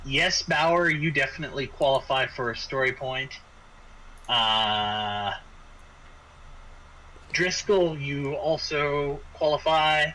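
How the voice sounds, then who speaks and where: A man running a tabletop game as game master, narrating off the cuff in a halting way with repeated words. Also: medium pitch, bright, forceful tone. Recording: noisy home microphone.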